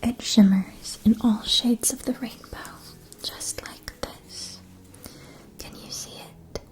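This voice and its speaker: A woman voicing a gentle, attentive wand shopkeeper in a fantasy ASMR roleplay, whispering with soothing, careful enunciation. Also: a little high, fairly even intonation, slow. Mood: soft, attentive. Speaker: ASMR performer.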